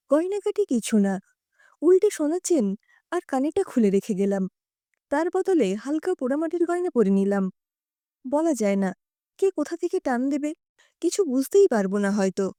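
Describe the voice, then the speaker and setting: Woman, high voice, very animated pitch, mellow tone, dry close sound, expressive story narration. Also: no echo.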